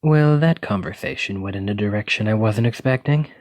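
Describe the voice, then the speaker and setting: Male actor as dragon-blooded librarian boyfriend, lowish pitch, earnest, reassuring, bookish, gentle, ASMR roleplay. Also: fairly quick, lightly acted. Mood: affectionate, sheepish. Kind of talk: ASMR roleplay, speaking softly to a partner.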